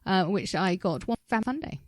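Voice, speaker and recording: A woman's voice: mid-range voice, expressive, normal speed, no echo, clean studio sound. A woman co-hosting a podcast in casual conversation. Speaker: podcast co-host. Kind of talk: casual chat.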